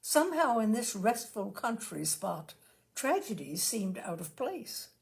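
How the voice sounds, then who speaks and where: Creaky-voiced woman reading stories aloud. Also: fairly high pitch, sing-song, measured pace. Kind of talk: storytelling, read-aloud narration.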